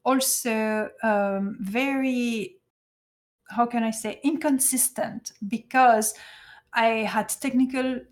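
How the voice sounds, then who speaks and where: A woman from Belgium with a French accent, a native French speaker, chatting easily as a podcast guest and often laughing or pausing to find her words. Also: on the high side, steady tone. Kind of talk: casual interview chat.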